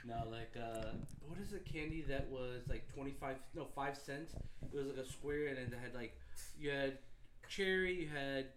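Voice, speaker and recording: A man's voice: mid-range voice, moderate pace, fairly bright tone. A man under fifty chatting casually as a podcast co-host, boisterous and slangy, telling anecdotes. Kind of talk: casual group chat, anecdotes.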